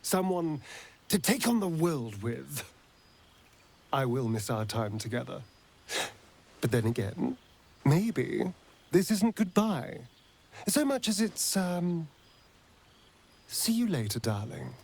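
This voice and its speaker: Sardonic vampire rogue, high-pitched, swooping intonation, arch, tender streak, game character. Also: male voice, sing-song, takes it slowly, creaky, long pauses, a little mellow, full character voice. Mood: fond. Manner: arch, drawling, theatrical. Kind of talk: theatrical video game character acting.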